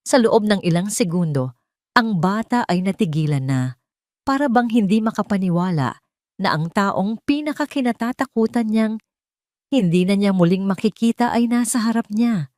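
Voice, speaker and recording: A woman with a Filipino accent reading a scripted dramatic story in a measured, solemn manner. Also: medium pitch, animated, a little mellow, no echo, clean studio sound. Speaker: story narrator.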